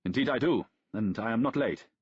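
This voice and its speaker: Brief: male narrator doing a composed barbarian officer; low, husky, muffled. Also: brisk, no echo, lightly acted. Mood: courteous.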